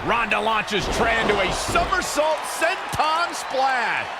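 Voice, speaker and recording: A man playing an excitable pro wrestling commentator in a video game, calling the action. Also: very high voice, takes it slowly, heavily acted. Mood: urgent, excited. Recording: loud arena crowd and noise.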